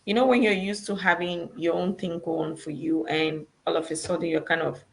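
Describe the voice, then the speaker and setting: A woman's voice: on the low side, steady tone, brisk, vocal fry, rough recording. A woman with a Nigerian accent, a guest on a video-call interview, telling her story in a chatty way with many fillers and restarts. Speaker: guest entrepreneur.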